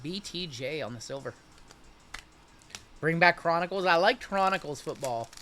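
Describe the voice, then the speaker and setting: A man's voice: a little high, lively intonation, normal speed, pushed and bright. A man in his late thirties hosting a live card break, chatting casually with viewers while rattling off player names from each pack. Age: 30s.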